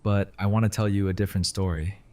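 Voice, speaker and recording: A man playing a grieving but resolute survivor giving a solemn, halting speech in an audio drama. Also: fairly low pitch, flat delivery, a little mellow, close to an everyday voice. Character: grieving, resolute survivor addressing his community.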